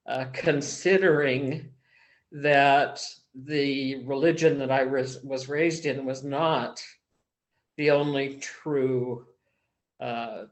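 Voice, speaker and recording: A man in a casual book discussion group, talking slowly and haltingly with many pauses and fillers. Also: fairly high pitch. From small-town background.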